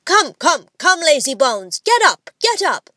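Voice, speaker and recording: A bossy storybook boy acted out by a woman reader puts on his father's stern airs. The voice is pitched up high and loud, with pompous, emphatic scolding delivered at a measured pace. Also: lively intonation, no room sound, clean studio sound. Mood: brisk, nagging.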